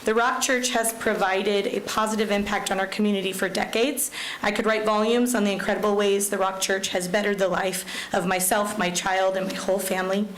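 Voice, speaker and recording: A woman school principal with a loud voice, speaking at a public hearing and reading a letter aloud. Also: mid-range voice, steady tone, fairly quick. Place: county commission chamber. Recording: echoey chamber with faint hum.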